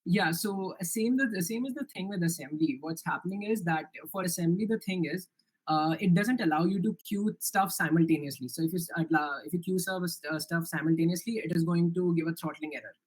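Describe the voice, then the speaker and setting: A young man with an Indian accent presents his software project in a live online demo, answering questions earnestly with frequent restarts and fillers. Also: high-pitched, brisk, poor audio. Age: young adult.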